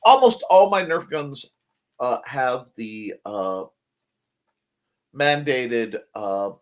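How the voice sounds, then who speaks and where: A middle-aged man chatting casually as a show co-host, rambling, pausing to search for words and adding wry asides, his voice still recovering after he nearly lost it. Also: mid-range voice, animated. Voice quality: husky. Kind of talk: casual chat.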